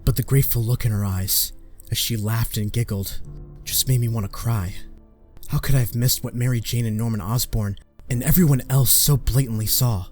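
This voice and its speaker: A man's voice: medium pitch, moderate pace, a little mellow, faint music behind, close to an everyday voice. Man acting an earnest, brooding young superhero. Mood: reflective. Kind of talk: comic book fan dub acting and narration.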